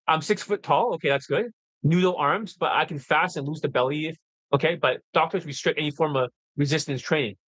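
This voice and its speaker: A man's voice: a little high, fairly bright tone. A man coaching on dating in a livestream monologue, blunt and lecturing, swearing casually.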